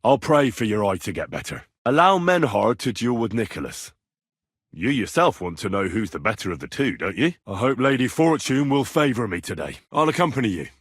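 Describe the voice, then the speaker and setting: A man's voice: lowish voice, very animated, a little fast, slightly rough, no room sound, clean studio sound. An actor playing a plain-spoken, earnest and sometimes cheeky young Bohemian swordsman in a medieval video game. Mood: earnest, resolute. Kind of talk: video game voice lines, naturalistic dramatic acting.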